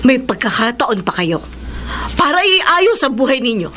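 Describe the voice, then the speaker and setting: A woman's voice: high voice, sing-song, normal speed, a bit bright, slight background noise. An actress playing a devout, stern, old-fashioned mother in a Filipino radio drama, with a Filipino accent. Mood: pleading.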